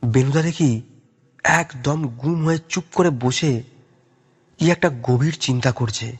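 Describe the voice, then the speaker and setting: A man's voice: mid-range voice, takes it slowly, slightly rough. A man narrating a scripted audio story in a dramatic storytelling style.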